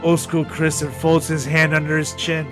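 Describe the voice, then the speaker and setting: A man podcast host narrating a comedic story with dramatic pauses, over background music. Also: on the high side, completely flat delivery. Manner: dramatic pauses, drawn-out delivery.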